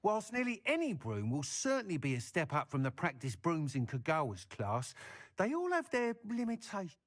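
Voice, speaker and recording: An actor playing an enthusiastic broom seller in a video game, eager and persuasive. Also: male voice, a little high, huge pitch swings, normal speed. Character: enthusiastic, salesmanlike male broom shop owner.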